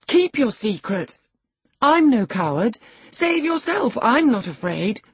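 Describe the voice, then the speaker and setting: A woman's voice: medium pitch, sing-song, a little slow, mellow, husky, no echo, pitched above the performer's own voice, exaggerated voice. A woman voicing a spirited, impatient young lady in an audiobook, proud, emphatic and demanding. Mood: proud compassion. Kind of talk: audiobook character acting.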